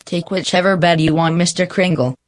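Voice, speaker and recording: An actor playing an easygoing young city lawyer in a 1940s radio drama, casual and wry. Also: male voice, sits high, steady tone, fairly quick, faint music behind, lightly acted.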